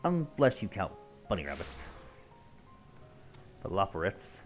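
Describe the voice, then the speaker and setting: A man's voice: deep voice, sing-song, a little slow, soft background music. A man streaming a game, commenting casually and playfully as he reacts aloud. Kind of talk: casual live gameplay commentary.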